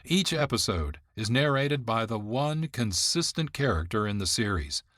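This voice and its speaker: A man who is the producer and host of a radio program, giving measured, informative narration from a script. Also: mid-range voice, animated, a bit bright, no echo, studio quality.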